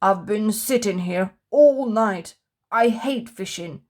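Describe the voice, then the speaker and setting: A woman voicing a grumpy male storybook character who moans and complains in a drawn-out grumble. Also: mid-range voice, darkish tone, lightly acted. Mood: grumbling, sulky. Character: grumpy, moaning male Mr. Men character who hates everything.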